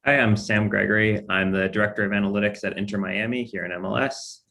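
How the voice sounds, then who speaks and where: Very deep, gravelly man. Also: steady tone, fast talker, pushed and bright. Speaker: director of analytics. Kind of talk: panel self-introduction. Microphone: video call.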